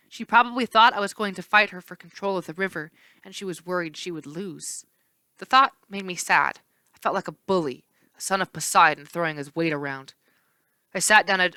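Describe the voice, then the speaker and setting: Bright, crisp woman reading aloud. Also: on the low side, no room sound. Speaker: read-aloud storyteller. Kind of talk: expressive audiobook-style narration of a children's novel.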